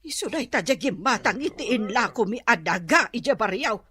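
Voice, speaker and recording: A woman's voice: a little high, lively intonation, normal speed, pushed and bright. A woman playing a showy, nosy, well-off aunt in an Ilocano comedy radio drama, exclamatory and with an Ilocano accent. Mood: nosy, impressed. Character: boastful, gossipy well-off aunt at a family reunion.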